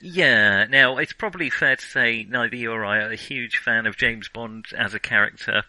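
A man's voice: mid-range voice, moderate pace, pushed and bright, dry recording, poor audio. A man co-hosting a film podcast, chatting casually in a wry way and often correcting himself as he goes. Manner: hesitant, self-correcting, wry.

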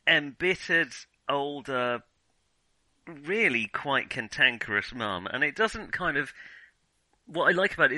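Male film podcast host. Very forceful, bright tone, dry sound, hesitant conversational reviewing with frequent rephrasing. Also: mid-range voice, no room sound, rough recording. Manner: hesitant, halting, self-interrupting. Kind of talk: casual film review discussion.

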